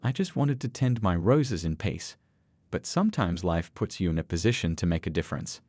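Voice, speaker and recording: A man voicing a dignified old grandfather in a narrated story, calm, principled and plain-spoken. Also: sits low, normal speed, no echo. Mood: earnest.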